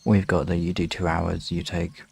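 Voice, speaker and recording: A man with a low voice and a lot of creak chats casually on a livestream. He rambles informally as he addresses his viewers. Some faint noise sits behind him. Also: monotone, normal speed. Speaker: livestream host.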